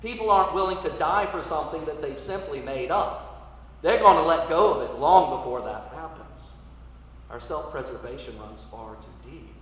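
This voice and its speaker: Echoey, distant man preaching in church. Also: fairly high pitch. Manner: measured, rhetorical delivery with emphatic swells and quiet drops. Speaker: Episcopal priest preaching. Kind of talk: sermon. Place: large church interior, live service. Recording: echoey church, distant mic, some noise.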